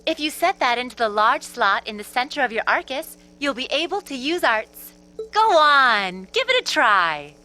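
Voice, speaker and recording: A woman's voice: high voice, sing-song, moderate pace, pushed and bright, soft background music, heavily acted. A woman playing a breezy, teasing academy instructor in a video game, explaining in a chirpy, casual way. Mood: breezy, upbeat. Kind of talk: English video game voice acting.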